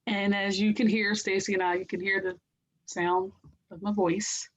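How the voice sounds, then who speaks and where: A woman co-hosting a casual podcast, with a raspy, nasal voice that is a bit hoarse, chatting with many fillers. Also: mid-range voice, level tone, moderate pace, poor audio. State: hoarse, recovering. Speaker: podcast co-host. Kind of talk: casual chat.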